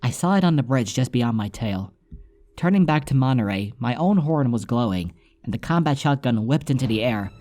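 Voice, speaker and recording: A man's voice: mid-range voice, lively intonation, normal speed, darkish tone. A man reading scripted first-person story narration as an audiobook narrator.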